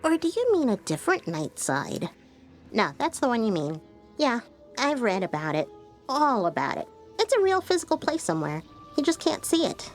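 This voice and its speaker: A woman voicing a quirky, excitable, scatterbrained know-it-all in a video game, rambling with exaggerated emphasis. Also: a little high, moderate pace, faint music behind. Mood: quirky, excited. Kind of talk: video game character acting.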